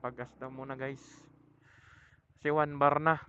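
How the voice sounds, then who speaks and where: A man with a Filipino accent chatting casually and haltingly to viewers while riding a motorcycle, speaking through a helmet intercom microphone. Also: medium pitch, little pitch movement. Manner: chatty, halting, thinking aloud. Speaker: motorcycle vlogger. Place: outdoors riding a motorcycle on mountain roads. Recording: muffled phone-like helmet intercom, loud vehicle noise.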